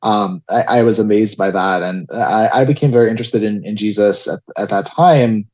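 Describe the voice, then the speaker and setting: A young man with a lowish voice tells his story as an interview guest. He hesitates and restarts his sentences often. The sound is dull and lo-fi. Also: normal speed. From Israel, raised in South Florida. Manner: hesitant, many restarts and fillers, soft laughs. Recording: dull lo-fi audio.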